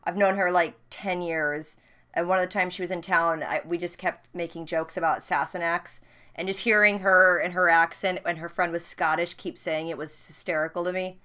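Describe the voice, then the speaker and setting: A woman vlog host chatting casually and candidly, with animated, jokey commentary on her old videos. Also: on the low side, moderate pace, clear, resonant tone. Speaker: host of a reaction channel.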